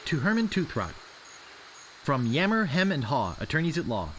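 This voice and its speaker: An actor playing a naive, wry young wannabe pirate in a video game, earnest in delivery. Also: male voice, on the high side, sing-song, normal speed, a bit bright. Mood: reading aloud. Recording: clear background ambience noise.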